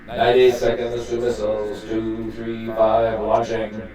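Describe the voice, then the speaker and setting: A man's voice: medium pitch, completely flat delivery, a little slow, dark tone. A cold, mechanical computer announcer, acted by a man, drenched in echo. Its clipped comic book countdown delivery is impassive and muted in tone, with faint music underneath. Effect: heavy echo. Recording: heavily echoing, faint music behind.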